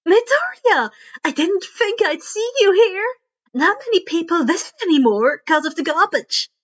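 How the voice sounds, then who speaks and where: Woman voicing a high, chirpy teenage inventor girl. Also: lively intonation, normal speed, muffled recording. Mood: excited.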